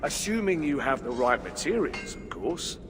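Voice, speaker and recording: An actor playing a genial, wordy dwarf smith in a video game, courteous and flowery. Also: male voice, medium pitch, big pitch swings, moderate pace, over music, close to an everyday voice. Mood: genial, admiring. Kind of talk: video game cutscene acting. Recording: reverberant, clearly noisy ambience.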